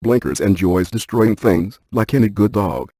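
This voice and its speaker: A man playing a brainy, stiff ghost-hunting scientist in a video game, speaking in a precise, clinical deadpan. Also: fairly low pitch, moderate pace, breathy edge, dry recording, studio quality. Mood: deadpan, analytical.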